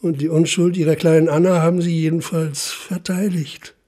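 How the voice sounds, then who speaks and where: An actor playing a methodical, relentless police official in a German radio play, speaking insistently and deliberately. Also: male voice, on the high side, little pitch movement, warm tone, natural voice. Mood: accusing, deliberate.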